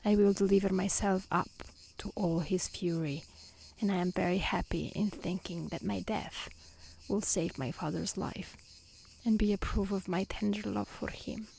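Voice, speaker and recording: A woman voicing a brave, selfless young daughter, gentle but firm, in a soft and earnest storybook reading. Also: mid-range voice, steady tone, moderate pace, vocal fry, a little hiss or hum, natural voice, poor audio. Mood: calm resolve.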